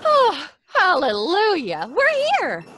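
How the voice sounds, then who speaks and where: A woman's voice: sits high, huge pitch swings, slow pace, pushed and bright, a little hiss or hum, full character voice. A woman playing a brash, fun-loving young wisecracker in an old-time radio drama, with a sassy, playful drawl. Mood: overjoyed.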